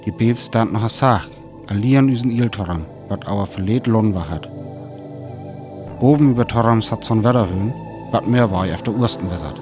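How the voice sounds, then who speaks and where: An actor with a North Frisian accent playing a weary lone survivor of an invasion in a radio play, telling it in sparse, dramatic phrases. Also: male voice, on the low side, moderate pace, music in the background, close to an everyday voice. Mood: weary, wary. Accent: North Frisian (Föhr).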